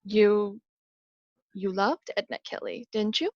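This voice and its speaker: A woman's voice: mid-range voice, slow, a little mellow, no room sound, lo-fi sound. An actress playing an eager, persistent female sleuth in a radio drama, earnest and probing. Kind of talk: old-time radio drama acting.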